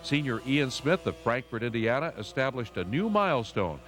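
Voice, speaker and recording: A man's voice: medium pitch, very animated, normal speed, a bit bright. A man with an American accent reading scripted newsreel narration. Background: music.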